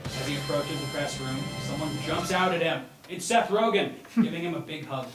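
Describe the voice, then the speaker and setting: A man's voice: on the high side, normal speed. A man narrating a script at a live read-through, stumbling now and then and prone to laughing. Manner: stumbles over lines, breaks into laughter. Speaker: narrator reading stage directions at a table read. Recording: roomy, distant mic, music behind.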